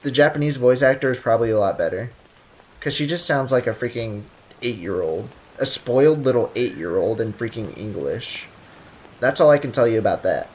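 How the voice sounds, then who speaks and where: Very low-voiced woman streamer, wry. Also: expressive, a little fast, darkish tone, low-quality recording. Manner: sarcastic, wry, slangy. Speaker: game streamer. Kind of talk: casual gameplay commentary. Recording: faint game music behind.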